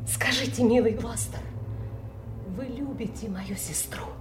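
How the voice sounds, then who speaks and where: A woman's voice: a little high, unhurried, roomy sound, background noise, natural voice. An actress playing a jealous, probing noblewoman in a classical radio drama, theatrical and insinuating in delivery. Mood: intimate, coaxing.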